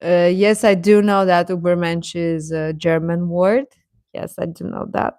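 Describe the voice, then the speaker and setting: A woman's voice: lowish voice, level tone, measured pace, darkish tone, no room sound, studio quality. A woman chatting casually as a livestream host, talking directly to viewers and often repeating her phrases.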